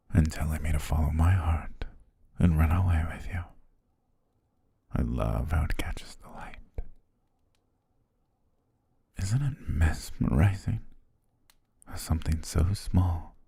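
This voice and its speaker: A man voicing a doting, possessive butler in a gentle, coaxing ASMR roleplay, speaking intimately close to the microphone. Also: no echo, heavily acted. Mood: tender, entranced. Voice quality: very deep, breathy, husky. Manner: slow, gentle, hypnotically coaxing, with pet names. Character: possessive, doting male yandere butler, soothing and controlling. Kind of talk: ASMR roleplay, intimate half-whispered monologue.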